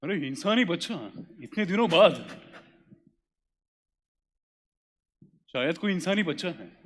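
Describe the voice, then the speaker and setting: A man playing a theatrical poet of old, reciting couplets in a declamatory stage manner with pauses and repeats. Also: sits high, expressive, fairly bright tone. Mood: surprised. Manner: declamatory, pausing, repeats lines for effect. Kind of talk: stage drama poetry recital. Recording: echoey hall with long reverb.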